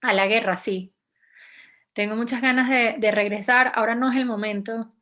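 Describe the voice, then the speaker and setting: A woman's voice: on the high side, fairly even intonation, moderate pace, pushed and bright. A young woman journalist from Venezuela, answering interview questions in a hesitant, reflective way with many restarts. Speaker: journalist, guest.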